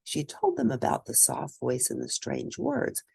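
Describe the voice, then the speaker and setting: Female storybook reader, lively pitch swings, touch of gravel, teacherly, close dry sound. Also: measured pace, no echo. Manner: expressive, teacherly, asks questions of listeners. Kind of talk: read-aloud children's story with discussion.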